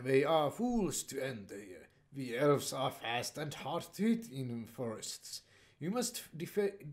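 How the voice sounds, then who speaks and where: Young male let's-play narrator, unhurried and halting, lively pitch, reads game dialogue aloud. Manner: hesitant, many fillers and restarts, reads dialogue in flat voice. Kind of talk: casual gameplay commentary, reading game text aloud.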